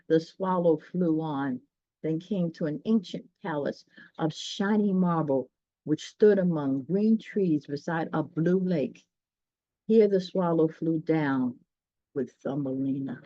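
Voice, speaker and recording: A woman's voice: fairly low pitch, darkish tone, dry recording, highs cut off. A woman reading a children's story aloud, explaining it in chatty asides and speaking directly to listeners.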